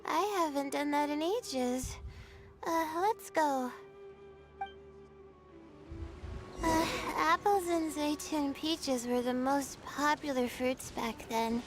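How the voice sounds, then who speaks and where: A high-pitched, nostalgic young woman. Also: slow. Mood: cheerful reminiscing. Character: wistful, gentle young adult woman reminiscing about childhood. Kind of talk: game character dialogue. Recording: game music behind the voice. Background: background game music.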